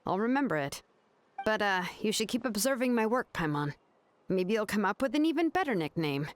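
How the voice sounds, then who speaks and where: An actress playing a laid-back, self-assured young woman smith in a video game, casual and matter-of-fact with thoughtful pauses. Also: mid-range voice, expressive, lightly acted. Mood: amused, teasing.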